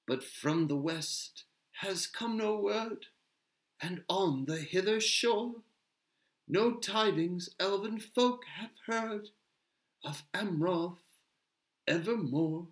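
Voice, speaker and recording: A man's voice: on the high side, a little slow, bright, forceful tone. A man voicing a grave, wistful male elf who recites verse and lore in a lyrical, solemn storybook manner. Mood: mournful, chanting. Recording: roomy, echoing sound.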